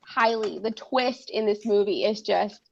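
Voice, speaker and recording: Gravelly woman losing her voice. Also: medium pitch, measured pace, darkish tone, a little hiss or hum. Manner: chatty, laughs often. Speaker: host. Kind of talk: casual group chat on a stream. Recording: dull lo-fi stream audio.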